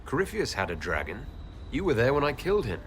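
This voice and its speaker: An actor playing a reserved, dry-witted elven hero in a fantasy game, with an understated delivery. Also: male voice, medium pitch, huge pitch swings, fast, soft background music. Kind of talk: fantasy video game acting.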